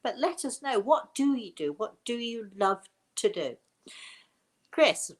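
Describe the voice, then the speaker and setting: Older woman, halting live-stream host. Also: on the high side, sing-song. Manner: halting, often repeats words and restarts. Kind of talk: casual live-stream talk answering viewer comments.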